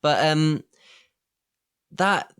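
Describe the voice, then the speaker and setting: A man's voice: on the high side, very slow, a bit bright, clear, resonant tone. A man co-hosting a film podcast, leading a casual discussion in a conversational, questioning way. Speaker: podcast co-host.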